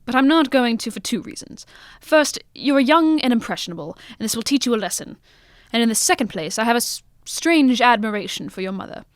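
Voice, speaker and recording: Woman playing a shrewd, high-voiced gentleman detective. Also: lively intonation, no echo, studio quality, lightly acted. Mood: stern. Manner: formal, measured, old-fashioned British gentlemanly phrasing. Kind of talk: old-fashioned detective radio drama acting.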